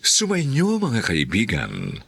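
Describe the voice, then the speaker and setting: A man's voice: lowish voice, wildly swooping pitch, slow, creaky, fairly bright tone, slightly rough. A man with a Filipino accent announcing a radio drama in a formal, theatrical scripted style.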